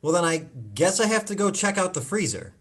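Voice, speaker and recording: Casting: man as concerned adult son, earnest and coaxing yet exasperated, with swooping, lively intonation. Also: high-pitched, brisk. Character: concerned, exasperated grown son confronting his mother. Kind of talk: live play reading over video call. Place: indoors, on a video call.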